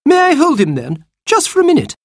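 A man's voice: extremely high pitch, wildly swooping pitch, a little fast, vocal fry, no echo, studio quality, voice pushed up, full character voice. A man voicing a grand, falsely sweet society lady in a storybook reading, gushing and coaxing. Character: grand, sugary society lady, a child-snatcher.

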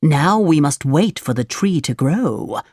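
Woman as a slow, coaxing storybook fox. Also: deep voice, expressive, a little mellow, dry recording, studio quality. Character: sly, coaxing fox con artist. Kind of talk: children's storybook character voice.

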